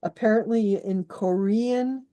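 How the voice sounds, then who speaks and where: A woman's voice: medium pitch, slow, mellow. A woman born in Austria, an author guest chatting in an interview, talking freely with restarts and little laughs. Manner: chatty, many restarts, laughs while explaining. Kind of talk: conversational interview.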